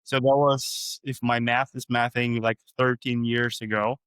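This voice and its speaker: A man's voice: medium pitch, level tone, unhurried, fairly bright tone, clean tone, no room sound. A man from Europe hosting a podcast, asking curious questions in a chatty way. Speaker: podcast host. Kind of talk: casual interview talk.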